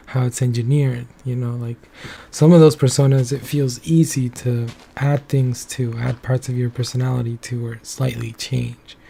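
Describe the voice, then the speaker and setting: A man's voice: medium pitch, warm tone. A young man talking casually on his own, loosely and in a rambling way, with many filler words. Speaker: commentary channel host. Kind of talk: casual solo commentary.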